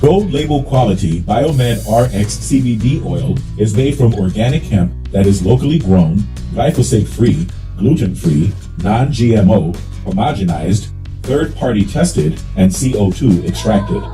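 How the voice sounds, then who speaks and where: A man with a low, very dark and mellow voice reads off an advertisement in a smooth, brisk run of features. Soft guitar music plays faintly behind him. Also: lively intonation, rough recording. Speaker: ad announcer. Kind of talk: read product ad.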